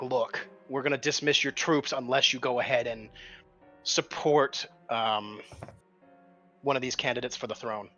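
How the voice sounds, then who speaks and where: Guy gaming at the table, bright pushy voice, talks a lot, bit muffled, music behind. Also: mid-range voice. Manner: talkative, argues points at length, mild swearing. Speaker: tabletop roleplaying game player. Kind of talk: casual strategising discussion at a tabletop game.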